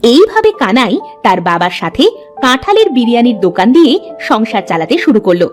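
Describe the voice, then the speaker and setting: Woman narrator, fairly high voice, animated intonation, storybook cartoon narration, soft music. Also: a little fast.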